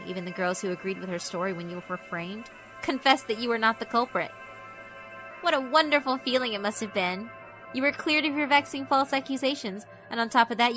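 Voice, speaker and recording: A woman's voice: on the high side, fairly bright tone, lightly acted. A woman voicing a sinister, cruelly amused female school spirit in a horror visual novel, theatrical and mock-polite. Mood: gleeful, taunting. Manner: theatrical, mock-polite, taunting rhetorical questions.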